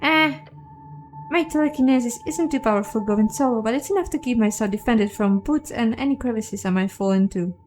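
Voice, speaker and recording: Woman playing a tiny earnest game ant, high voice, casual and rambling, unsure of itself, faint music behind. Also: brisk, darkish tone, close to an everyday voice. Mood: friendly, upbeat. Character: small psionic hive-mind ant, chatty and earnest.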